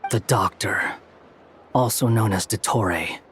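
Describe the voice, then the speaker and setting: A man's voice: fairly low pitch, slow pace, fairly bright tone, slight background noise. A man playing an aloof, curt young scholar with a dry, dismissive manner in a video game. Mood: cool, curt. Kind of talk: scripted video game dialogue.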